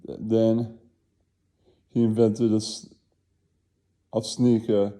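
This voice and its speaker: A man's voice: on the low side, level tone, very slow, warm tone. A man voicing a sassy senior flight attendant who brags scornfully about rich relatives in a comic puppet sketch, drawing out the words. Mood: scornful bragging.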